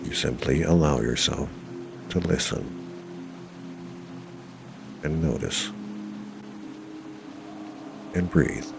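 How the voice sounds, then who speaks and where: A man with an extremely deep, creaky voice guides a meditation over clearly audible music. He speaks slowly in short, measured phrases with long pauses, reassuring the listener as he goes. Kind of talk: guided meditation narration, self-hypnosis.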